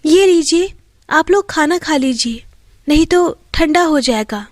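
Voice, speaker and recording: A woman's voice: high-pitched, lively intonation, measured pace, no echo, clean studio sound. A woman voicing a meek, mistreated young daughter, innocent and pleading, in a storybook tale.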